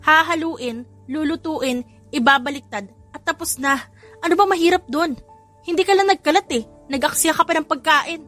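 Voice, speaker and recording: A woman voicing an irritable but soft-hearted young mother in a romance audio drama, nagging and exasperated. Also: sits high, normal speed, soft background music, pitched above the performer's own voice.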